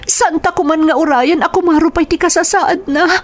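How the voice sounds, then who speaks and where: Casting: actress as a very high-pitched tearful mother, desperate and pleading. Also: fairly even intonation, brisk, a little hiss or hum. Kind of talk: Ilocano radio-style drama acting.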